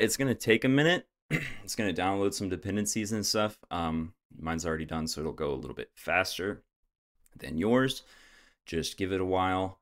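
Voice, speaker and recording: A young man casually guiding viewers step by step through a software setup, with frequent "uh"s. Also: deep voice. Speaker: tech tutorial host. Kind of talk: screen-recorded software tutorial.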